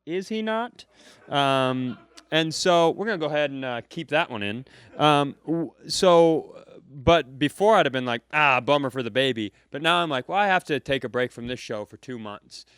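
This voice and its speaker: A man's voice: fairly high pitch, expressive, normal speed, a bit bright, clean tone, no room sound. A man co-hosting a live comedy podcast, rambling as he asks playful, needling questions. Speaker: podcast host. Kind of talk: casual comedic chat.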